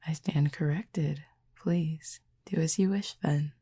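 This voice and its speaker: A woman voicing a calm, curious cupid in an intimate audio roleplay, speaking softly and half-whispered with formal, measured, gently dry phrasing. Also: low-pitched, exaggerated voice. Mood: curious, composed. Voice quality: breathy, soft, half-whispered. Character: calm, curious otherworldly cupid who feeds on feelings.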